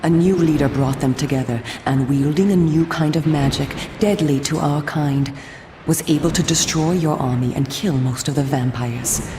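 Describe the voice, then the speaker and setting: An actress voicing a solemn, coolly persuasive female vampire resistance agent in a video game, speaking in a formal, measured, theatrical way. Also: sits low, steady tone, reverberant, background noise, lightly acted. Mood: grave, expository. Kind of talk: scripted video game cutscene acting.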